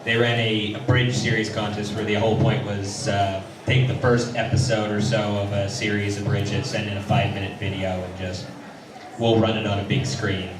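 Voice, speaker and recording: Casting: male panelist, halting casual advice, lowish voice, distant from the mic. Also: level tone. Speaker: convention panelist. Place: convention panel room. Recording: echoey, distant, noisy panel room.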